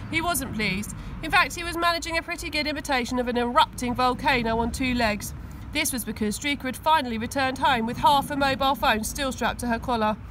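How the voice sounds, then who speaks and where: A woman's voice: sits high, fairly quick, a bit bright, slight background noise, poor audio. A woman reading a children's story aloud expressively, recorded outdoors. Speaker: school teaching assistant reading aloud. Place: outdoors on grass.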